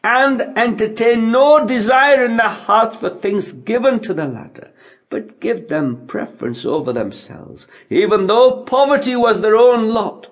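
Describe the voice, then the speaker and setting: A man with a notably high-pitched voice reads scripture aloud for an audiobook. He goes slowly and solemnly, setting out each phrase in a measured, declamatory way. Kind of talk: solemn scripture recitation in English.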